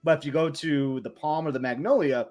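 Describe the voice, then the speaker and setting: A man co-hosting a podcast, chatting casually and telling stories with enthusiasm and quick asides. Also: fairly high pitch, steady tone, a little mellow. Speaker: podcast co-host. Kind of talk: casual chat.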